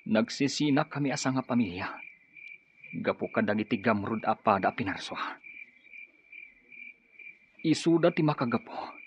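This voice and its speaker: A man's voice: mid-range voice, expressive, normal speed, long pauses, close to an everyday voice. Embittered, intense grieving man, played by an actor. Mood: bitter, grieving. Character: embittered, grieving son bent on avenging his father. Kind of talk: radio drama acting in Ilocano. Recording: dull, noisy lo-fi radio sound.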